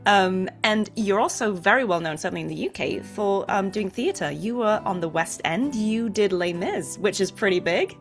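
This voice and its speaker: A woman's voice: mid-range voice, expressive, normal speed, few pauses. A woman hosting an interview show in a casual, conversational style. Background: faint music.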